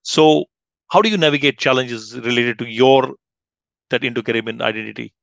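A middle-aged man hosting an interview over a headset, asking long questions with halting repeats. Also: medium pitch, gravelly, fairly bright tone, no echo, lo-fi sound. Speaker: host. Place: small room with green wall. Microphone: headset on video call.

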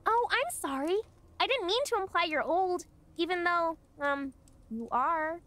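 A woman's voice: very high voice, lively intonation, measured pace, a bit bright, full character voice. A woman voicing a sweet, earnest young girl in a video game, polite and a little hesitant. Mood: earnest, apologetic. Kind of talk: video game character acting.

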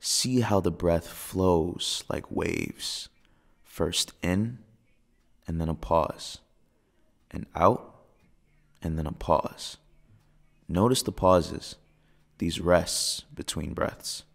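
Male meditation guide: deep creaky register, very unhurried, soothing, spacious phrasing for breathing exercises. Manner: soothing, gentle, measured delivery with pauses.

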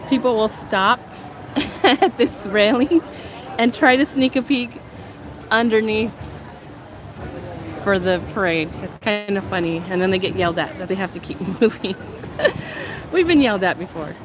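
A woman's voice: mid-range voice, animated, a little slow, pauses often, background noise. A woman with a slightly nasal voice, getting over a cold, chatting casually and laughing often as she walks through a crowded theme park, filming with a handheld phone. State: recovering from a cold, a little nasal. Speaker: vlog host. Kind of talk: casual live-stream chat while walking. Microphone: handheld phone camera while walking. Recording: loud crowd and park music behind. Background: crowd chatter and park music.

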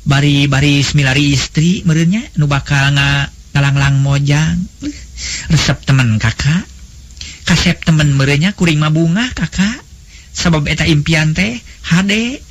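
A man's voice: on the high side, unhurried, pitched above the performer's own voice. A man voicing an impatient, jealous young noblewoman, a demanding younger sister with a Sundanese accent, in a storybook tale. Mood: eager, curious. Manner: eager, demanding.

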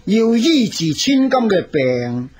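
An actor playing a self-assured old geomancer-healer in a Cantonese radio drama, husky and learned, with a Hong Kong accent and a measured, authoritative manner. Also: male voice, high voice, sing-song, a little mellow, full character voice. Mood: confident, instructing.

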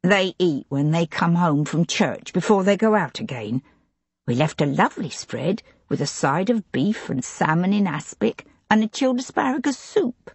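A woman voicing a plump, tearful older housekeeper who talks on anxiously and pleadingly, with a breathy voice. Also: lowish voice, big pitch swings, normal speed, no echo, heavily acted. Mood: earnest.

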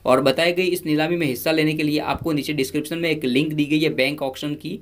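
A man's voice: on the high side, steady tone, quick pace. A male presenter giving an informal news voice-over, talking conversationally with many fillers and restarts. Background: background music.

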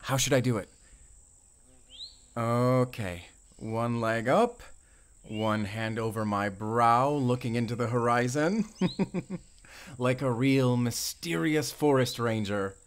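Audio drama role, male actor: excitable young male ranger, American accent, chatty and friendly. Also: medium pitch, slow pace, pauses often, natural voice. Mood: cheerful, eager. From Arizona, US. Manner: talkative, eager, rambling, with small chuckles. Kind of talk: roleplay audio drama addressed to the listener.

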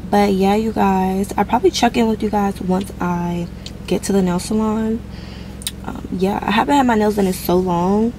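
Young American woman, lifestyle vlogger, casual chat, gravelly fry, faint ambient noise behind her. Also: mid-range voice, steady tone, normal speed. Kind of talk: casual vlog chat to viewers.

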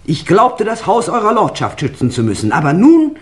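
Low, insinuating housekeeper-like woman. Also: huge pitch swings, moderate pace. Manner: dramatic, pointed, insinuating. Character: loyal, accusing woman of the manor household. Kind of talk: German crime radio play acting.

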